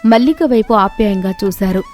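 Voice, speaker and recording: A woman's voice: medium pitch, fairly quick, a little mellow, faint music behind. A woman storyteller giving scripted narration of moral tales.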